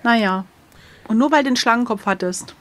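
A woman with a somewhat high voice chats casually as the player in a gamebook session. She is slangy and playful, tossing out sarcastic jokes. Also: a little fast, a bit bright. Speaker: co-host and player.

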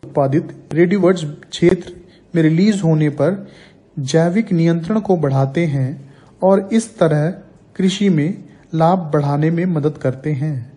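A man narrating a scripted agricultural training film as a voice-over, reading slowly and evenly in formal wording. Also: fairly high pitch, soft background music. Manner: slow, even reading with formal Hindi wording.

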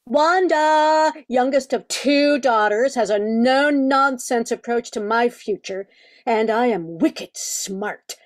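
A woman's voice: a little high, slow pace. A woman reading a scripted character introduction for an amateur radio play.

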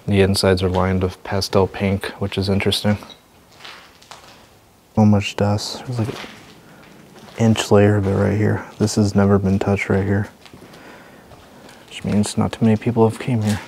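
A man narrating a walk through an abandoned building, calmly pointing things out as he goes. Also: normal speed, long pauses, a little hiss or hum. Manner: low-key, observational, thinking aloud. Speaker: urban explorer host. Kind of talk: walking vlog narration.

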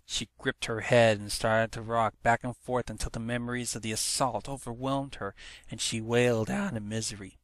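A man's voice: mid-range voice, fairly even intonation, normal speed, no echo. A man reading a story aloud as a dramatic scripted narration. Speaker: fan fiction narrator.

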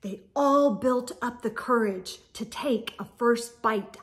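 A middle-aged woman reading a children's storybook aloud with expressive, exaggerated emphasis and sing-song refrains. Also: on the high side, slow. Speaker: storytime read-aloud host. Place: indoor room with bookshelves.

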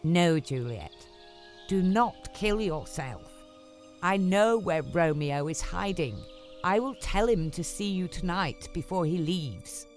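Anxious older nurse, storybook drama, lower female voice, emphatic, faint music behind. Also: animated, slow, darkish tone. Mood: anxious, urgent. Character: Juliet's anxious older nurse and stern Lady Capulet.

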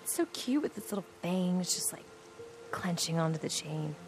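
A woman's voice: fairly low pitch, animated, moderate pace, darkish tone, soft background music. An actress playing an affectionate young woman in a video game, with an American accent and a casual, teasing way of talking. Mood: playful, loving.

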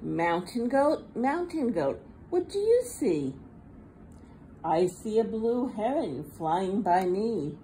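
Slow, sing-song woman reading a picture book. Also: medium pitch, very animated, mellow, low-quality recording. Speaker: children's storybook reader. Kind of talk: read-aloud picture book for young children. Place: indoors at a table. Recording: faint background room noise.

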